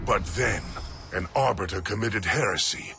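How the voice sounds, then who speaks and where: An actor playing a weary alien warrior elder in a video game, speaking gravely and with weight. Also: male voice, fairly low pitch, normal speed, music in the background. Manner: grave and brooding.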